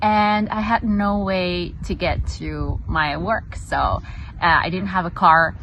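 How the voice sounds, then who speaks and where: A woman with a lowish voice tells a personal story in a relaxed way, stopping often on "uh" and "um". Clear noise runs behind her. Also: slow pace, dull audio. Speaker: real estate agent. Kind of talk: casual storytelling.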